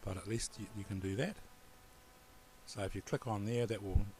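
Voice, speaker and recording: A man with a New Zealand accent giving a relaxed, rambling screen-recorded computer tutorial. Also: lowish voice, normal speed, a little hiss or hum, poor audio. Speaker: tutorial presenter.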